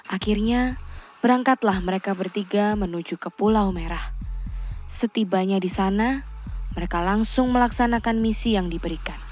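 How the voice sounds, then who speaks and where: A woman tells a children's puppet story in a calm, even storybook voice. Her pitch sits in the middle range. Faint music plays under her. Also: moderate pace. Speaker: narrator.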